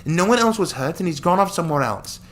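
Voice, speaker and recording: A man voicing a caring young woman in a story, earnest and gently reassuring as the hero's friend. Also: a little high, animated, fast talker, voice pushed up, natural voice. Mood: encouraging.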